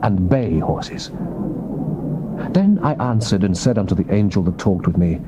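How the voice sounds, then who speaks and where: A man's voice: low voice, lively intonation, normal speed. A man with a British accent reading scripture as a solemn, theatrical dramatized narration. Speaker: Bible narrator. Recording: noisy old cassette tape recording.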